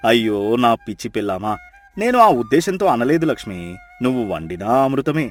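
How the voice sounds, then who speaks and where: A man playing a young fisherman husband, good-humoured, devoted and emotional, with an expressive, dramatic storybook delivery. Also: medium pitch, normal speed, faint music behind, natural voice. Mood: placating.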